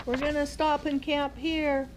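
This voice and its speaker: An older woman voicing a commanding wagon train leader in a children's storybook, calling out drawn-out orders. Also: high-pitched, little pitch movement, a little hiss or hum, voice pushed up.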